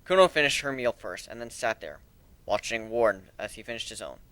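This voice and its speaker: A man reading a story aloud as a dramatic narrator, in a measured, deliberate way with expressive emphasis. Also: on the low side, no echo, low-quality recording.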